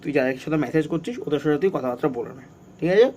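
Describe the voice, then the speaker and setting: A man's voice: fairly high pitch, expressive, racing, dark tone, a little hiss or hum. A young man, talking casually and insistently, often repeating himself, as in a vlog or phone call. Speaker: prank video creator.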